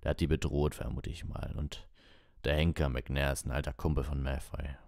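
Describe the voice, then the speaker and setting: Storybook giant groundskeeper, played by a male reader: rock-bottom pitch, heavy-hearted, halting, gruff, mellow tone. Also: flat delivery, no echo, studio quality. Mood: sorrowful. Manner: heavy-hearted, halting, plain folksy speech. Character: gruff, sorrowful giant groundskeeper, big middle-aged man.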